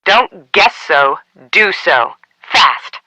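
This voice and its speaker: An actress playing a stressed, bossy film crew member in a mystery game, curt and impatient. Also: female voice, on the low side, sing-song, drawn-out words, bright, forceful tone, dry recording, highs cut off, full character voice. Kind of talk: scripted game dialogue.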